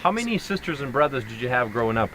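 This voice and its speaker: Upbeat male interviewer, animated intonation, keeps confirming answers, light ambience. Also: mid-range voice, fast talker, slight background noise, rough recording. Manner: prompting, rephrases and confirms answers. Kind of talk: casual on-location interview.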